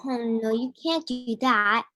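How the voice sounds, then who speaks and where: Woman doing a kind motherly baker lady in a radio play, really high voice, coaxing. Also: takes it slowly, no room sound. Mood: urgent, coaxing. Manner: gentle, coaxing. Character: kindly, motherly woman baker nursing a patient. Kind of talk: live radio drama acting.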